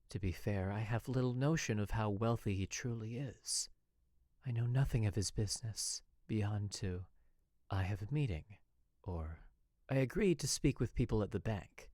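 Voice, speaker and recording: A man's voice: fairly low pitch, a little mellow, no echo. Man voicing an anxious frontier wife, close and dry. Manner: earnest, formal, dramatic delivery. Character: anxious, oppressed young wife confiding in her diary. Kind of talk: dramatic diary narration of western fan fiction.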